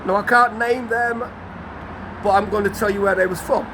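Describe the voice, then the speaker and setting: A man, croaky from a cold, telling a rambling, guarded story to his vlog audience, full of restarts. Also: high voice, steady tone, moderate pace. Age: 50s. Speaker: vlogger. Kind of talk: casual story to camera. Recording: outdoor traffic noise behind.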